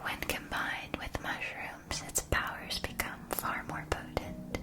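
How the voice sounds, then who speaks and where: A woman whispering softly and breathily in a scripted ASMR roleplay as a gentle magic shopkeeper, enunciating each phrase with care. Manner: slow, deliberate, careful enunciation.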